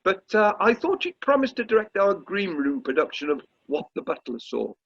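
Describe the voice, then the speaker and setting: Man playing fussy society director, high husky voice, stammering, polite, radio comedy, slightly muffled recording. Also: big pitch swings, moderate pace, fairly bright tone, heavily acted. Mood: awkward, tactful. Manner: stammering, tactfully effusive. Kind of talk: comic radio play acting. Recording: slightly dull video call audio.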